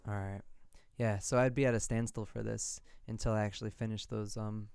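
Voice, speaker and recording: A man's voice: fairly low pitch, level tone, fairly quick, gravelly, a little mellow, smooth, dry recording, studio quality. A man chatting casually on a livestream while producing music, thinking aloud in an informal way. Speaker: music producer live streaming.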